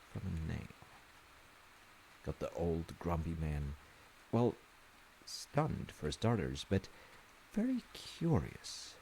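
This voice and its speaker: Deep, gravelly male storyteller. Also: mellow, low-quality recording. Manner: wry, conversational, hesitant asides. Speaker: storyteller in an audio roleplay, playing a dad. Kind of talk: casual bedtime folktale storytelling. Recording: hissy recording with rain noise. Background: rain and hiss.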